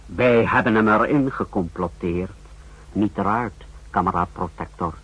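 An actor playing a hard, ruthless secret-service subordinate in a 1960s radio drama, reporting in a clipped, matter-of-fact manner. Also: male voice, on the low side, unhurried, a bit bright, breathy edge, no room sound. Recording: dull 1960s radio recording.

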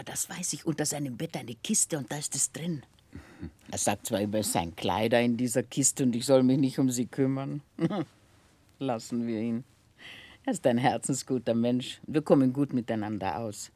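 An actress playing a warm-hearted, motherly middle-aged housekeeper in a 1960s radio play, effusive and chatty. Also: a bass voice, husky, no echo. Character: warm-hearted, emotional older housekeeper, fussing motherly wife. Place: dry radio studio.

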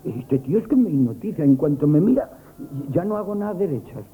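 An actor in a Spanish radio comedy playing a nervous, lovestruck young grocery lad, gushing and stammering with an accent from Spain. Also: male voice, a little high, big pitch swings, brisk, narrow, tinny audio. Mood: flustered. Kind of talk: 1970s radio comic theatre acting. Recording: band-limited vintage radio broadcast.

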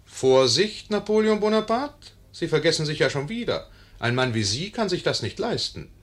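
A wry storyteller who meddles in a 1950s radio comedy. His husky voice moves in very lively swings, ironic and conspiratorial, like a stage commentator. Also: on the high side, normal speed. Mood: scolding. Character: wry, meddling narrator addressing the audience. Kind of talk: 1950s German radio play narration.

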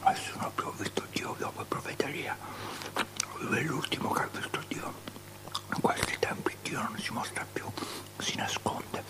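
Whispering pious Judean elder. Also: male voice, on the high side, poor audio. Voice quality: breathy whisper. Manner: whispered, conspiratorial theological disputing. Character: disputatious, pious male religious elders of Judea. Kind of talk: avant-garde theatrical radio drama.

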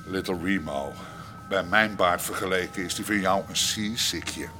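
An actor playing a boastful, messianic convict who preaches grandly and talks about himself by name in a radio play. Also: male voice, sits low, moderate pace, music in the background, lightly acted. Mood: bitter, theatrical. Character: burn-scarred, boastful, messianic male prisoner with fascist leanings. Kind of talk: Dutch radio play acting. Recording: noisy radio drama recording.

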